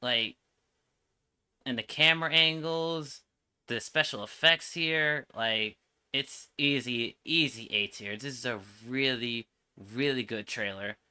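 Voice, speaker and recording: Man, casual streamer commentary, very bright forceful tone, crisp, slangy, sweary, opinionated. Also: mid-range voice, slow pace. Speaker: streamer reacting to game trailers.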